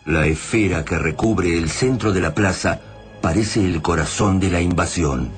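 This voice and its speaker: A man with an Argentine accent narrating a science fiction radio drama in a solemn, measured, dramatic way. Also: low voice. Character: solemn male narrator of an alien invasion tale. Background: music.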